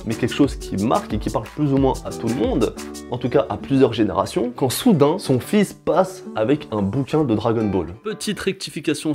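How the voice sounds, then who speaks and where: A man's voice: medium pitch, mellow. Man narrating casually over music. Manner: joking, slangy asides. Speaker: vlogger host.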